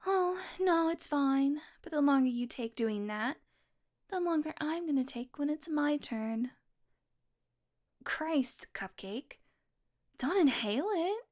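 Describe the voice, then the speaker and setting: A woman acts out a female vampire in an intimate audio roleplay. Her voice sits on the high side and she talks very unhurriedly. She is smug and flirtatious, teasing her prey with mock annoyance and possessive fondness. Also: lively intonation, slow pace, long pauses, a little mellow. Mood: exasperated, fond.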